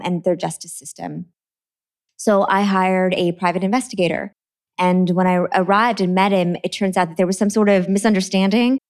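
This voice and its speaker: A woman from Trinidad, an author talking about her books in a relaxed interview, earnest and quick to laugh. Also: lowish voice, clean tone. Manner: earnest, laughs easily, repeats words when starting. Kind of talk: conversational interview.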